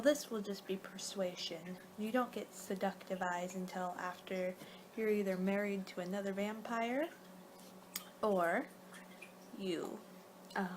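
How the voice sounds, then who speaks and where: A woman performing a soft-spoken, partly whispered ASMR roleplay as a casual vampire, rambling and halting as she goes. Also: medium pitch, background noise. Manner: rambling, halting, losing her train of thought. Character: young-for-her-kind female vampire guiding a client, casual, a little exasperated.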